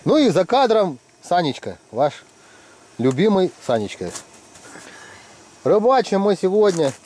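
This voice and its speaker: A middle-aged man chatting casually and teasing his friends while ice fishing in a tent. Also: high voice. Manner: joking, teasing friends, lively. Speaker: fishing vlogger. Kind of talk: casual commentary.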